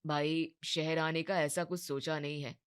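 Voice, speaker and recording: Woman voicing a weary, hesitant young shopkeeper man, low-pitched. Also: flat delivery, measured pace, smooth. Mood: hesitant, torn. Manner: hesitant, resigned. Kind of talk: Hindi animated moral story dialogue.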